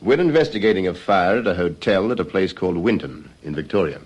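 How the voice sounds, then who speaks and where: Low voiced police detective in an old radio drama, formal, keeps probing in a measured way. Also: male voice, expressive. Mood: methodical.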